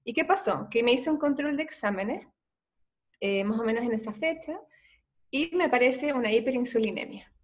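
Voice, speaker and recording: A woman's voice: fairly high pitch, normal speed. Chilean woman doctor telling her story. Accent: Chilean Spanish. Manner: earnest, frequent "eh" fillers. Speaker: medical doctor guest. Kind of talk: conversational storytelling interview.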